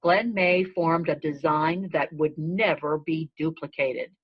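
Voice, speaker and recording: A woman reading a children's story aloud in measured, deliberate phrasing. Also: low voice, fairly even intonation, slightly rough. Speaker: storybook reader. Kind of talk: read-aloud narration of a children's story in a goat's first person. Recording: dull lo-fi recording.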